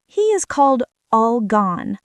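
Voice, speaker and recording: A sly, greedy she-cat from a storybook, played by a woman. The voice is high and lively, smooth-talking and brazen, recorded close and dry. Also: slow, no room sound. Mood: cool, brazen. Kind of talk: children's fairy-tale character voice.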